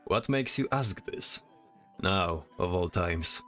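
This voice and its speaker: A man's voice: sits low, lively intonation, slow pace, fairly bright tone, soft background music, full character voice. A man voicing a stoic, guarded soldier who speaks in a serious, restrained way in a fantasy audio drama. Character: stoic, guarded male soldier focused on his mission.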